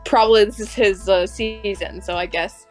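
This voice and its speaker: A woman co-hosting a podcast, talking casually as a fan in a halting way that circles back mid-thought. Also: medium pitch, fairly even intonation, faint music behind. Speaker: podcast co-host. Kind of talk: casual fan discussion of an animated series.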